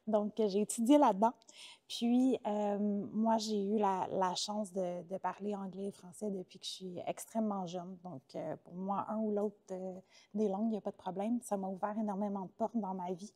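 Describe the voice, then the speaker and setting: A woman's voice: mid-range voice, fairly even intonation, moderate pace, mellow. A woman in her thirties with a Québécois accent, chatting as an interview guest, talkative with many filler sounds. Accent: Québécois French. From Quebec, Canada. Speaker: interview guest, former teacher turned entrepreneur. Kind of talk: casual interview conversation.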